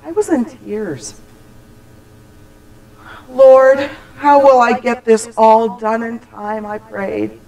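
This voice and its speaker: A woman telling a scripted personal holiday story, with deliberate, expressive, dramatic phrasing. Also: fairly high pitch, low-quality recording. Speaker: church storyteller.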